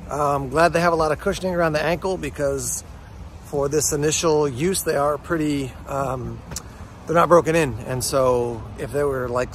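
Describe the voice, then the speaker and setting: American man reviewing gear outdoors, noisy background. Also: medium pitch. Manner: unscripted, hesitant, conversational. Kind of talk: casual product review to camera. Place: outdoors, woods, yard and parking lot. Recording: outdoor recording with clear background noise.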